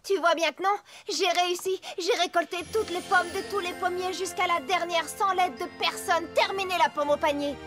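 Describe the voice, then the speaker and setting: A proud, hard-working young female cartoon pony. The voice is high, blunt and bossy, with a folksy farmhand manner. Music plays clearly behind it. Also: fairly even intonation, normal speed, hardly any pauses. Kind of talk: animated cartoon dub acting.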